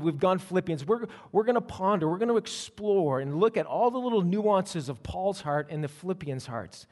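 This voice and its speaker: A man preaching a sermon as pastor, telling stories in an animated way with frequent restarts. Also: sits high. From Canada. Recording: long hall reverb.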